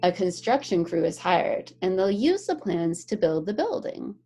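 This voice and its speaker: A woman reading a picture book aloud to young children in an animated, encouraging way, explaining things simply as a teacher would. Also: lowish voice, normal speed, soft background music.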